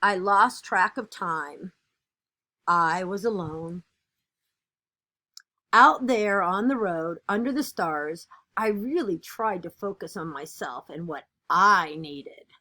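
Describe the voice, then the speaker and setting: A woman voicing an earnest, dutiful egg from a children's picture book, with expressive and deliberate storytelling. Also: fairly low pitch, full character voice. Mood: reflective.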